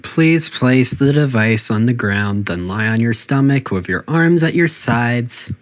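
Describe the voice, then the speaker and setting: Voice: mid-range voice, animated, measured pace, close to an everyday voice. A performer voicing a polite but menacing artificial intelligence that runs test chambers, making formal, clinical, passive-aggressive announcements in a video game. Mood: cold, threatening. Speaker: facility announcer.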